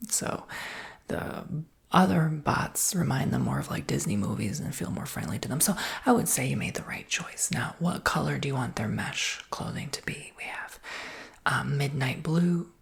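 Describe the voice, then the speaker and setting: A woman whispering in a breathy voice with a creaky undertone, giving casual, reassuring sales patter as an ASMR roleplay. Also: a bass voice, very animated, normal speed.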